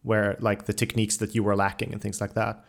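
A man's voice: on the low side, completely flat delivery, quick pace, vocal fry. Enthusiastic man hosting a casual interview. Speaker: podcast host and interviewer.

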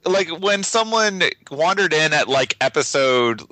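A man's voice: on the high side, animated, measured pace, pushed and bright. A man on a podcast panel chatting casually with wry, joking remarks. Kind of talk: casual chat.

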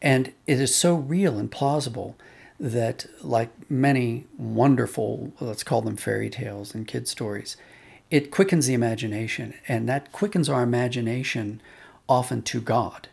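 A man explaining thoughtfully as a guest in conversation. He has a medium-pitched, noticeably gravelly voice and sets out his points reflectively, one step at a time. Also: normal speed, a little mellow. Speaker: podcast guest, audio drama writer and director.

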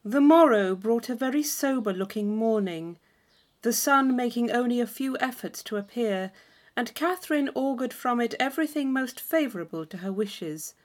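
A woman reading a classic novel aloud as an audiobook narrator, in expressive scripted storytelling. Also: on the high side, moderate pace. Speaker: audiobook reader.

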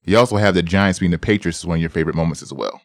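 Very deep-voiced podcast host man. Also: very fast, dry recording, clean studio sound. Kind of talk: casual interview chat.

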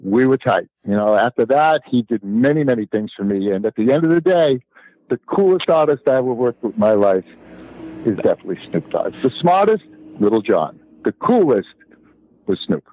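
A man chatting casually as an interview guest, telling anecdotes in a halting way that repeats and rephrases. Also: mid-range voice, sing-song, dull audio. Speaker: DJ and former record promoter, interview guest.

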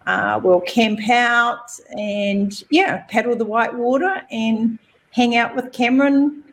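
A woman with a New Zealand accent, a podcast guest telling stories in a relaxed, chatty way. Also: fairly high pitch, slow pace, low-quality recording. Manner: chatty, relaxed storytelling with fillers. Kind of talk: casual interview chat.

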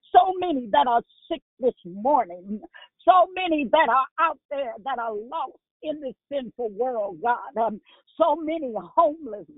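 A woman's voice: sits high, dry recording. A woman leading a spontaneous prayer on a phone prayer line, fervent and repetitive, with frequent filler sounds between her cries. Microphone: telephone. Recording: dry tinny phone line.

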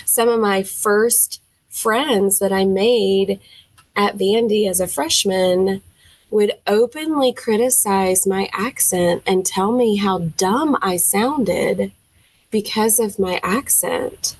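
Podcast guest: young Southern woman, mid-pitched, dry and close-miked, animated storyteller, says "um" a lot. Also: no echo. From Tennessee. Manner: animated, acts out her own quoted reactions; frequent fillers, thinks aloud. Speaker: music teacher, podcast guest. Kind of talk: casual storytelling interview.

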